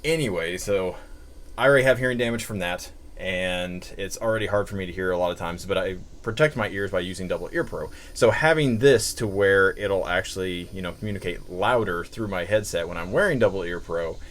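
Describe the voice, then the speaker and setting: A man's voice: low voice, a little fast, fairly bright tone. A man with an American accent giving a casual, unscripted product review in a rambling, conversational way full of asides. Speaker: gear reviewer presenting a product.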